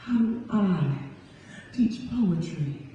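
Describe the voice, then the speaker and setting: A woman's voice: mid-range voice, expressive, very slow, dark tone, dull audio. A young woman, performing as a spoken-word poet at a live event. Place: live on stage in a large echoey hall. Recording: echoey hall from far off, faint noise.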